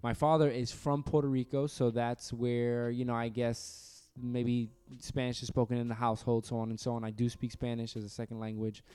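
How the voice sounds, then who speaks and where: A man from New York co-hosting a podcast, talking in a relaxed, conversational way and hedging his opinions. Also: mid-range voice, normal speed, hardly any pauses, clear, resonant tone. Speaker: podcast co-host. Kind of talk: casual podcast discussion. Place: studio room across from co-host.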